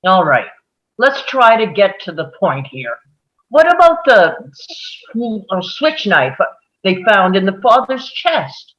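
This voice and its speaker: Woman reading impatient, sneering juror, slow and muffled. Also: mid-range voice, sing-song, a bit bright. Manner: brusque, sneering. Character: impatient, sarcastic, domineering male juror. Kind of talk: script table read.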